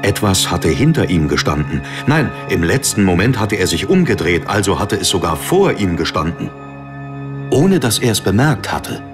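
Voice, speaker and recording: A man's voice: sits low, big pitch swings, moderate pace, music in the background. A man reading scripted dramatic narration for a fantasy audiobook.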